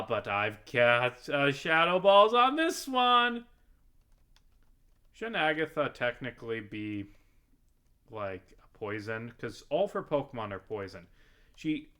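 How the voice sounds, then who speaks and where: A man's voice: fairly low pitch, sharp tone. A man giving casual video game commentary, rambling and thinking aloud with the odd swear word.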